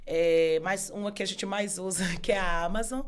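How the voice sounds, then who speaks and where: A woman with a Northeastern Brazilian accent, a law professor and author, talking as a guest in a casual interview, earnest and often pausing to rephrase. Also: medium pitch, smooth. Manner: earnest, with frequent hesitations and restarts.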